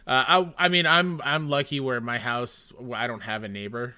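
A man hosts a casual audio podcast interview. His voice is bright and sits at a middle pitch. He asks questions in a friendly, halting way, with plenty of fillers. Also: steady tone, clear, resonant tone. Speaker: podcast host.

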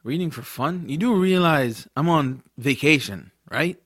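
A man's voice: a little high, lively intonation, measured pace, fairly bright tone, dry recording, clean studio sound. A man playing an adventurous, skeptical young boy in an audiobook drama, telling his story expressively. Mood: skeptical, casual. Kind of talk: audiobook first-person narration and acted dialogue.

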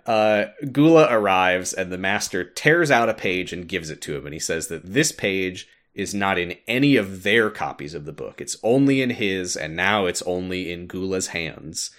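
Low-pitched man, casual podcast storyteller. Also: lively intonation, normal speed, few pauses, a bit bright. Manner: laughs often, informal, mildly sweary. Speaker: podcast host. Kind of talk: casual retelling of a game story with commentary.

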